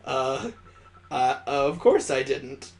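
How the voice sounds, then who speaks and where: A man's voice: mid-range voice, big pitch swings, slow, voice pushed down. A man voicing an anxious, polite young girl in a visual novel, hesitant and apologetic. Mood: flustered.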